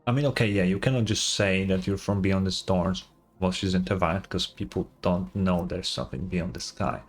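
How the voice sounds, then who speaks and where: A man's voice: low voice, brisk, breathy edge. Casual male game streamer thinking aloud. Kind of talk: casual reaction commentary.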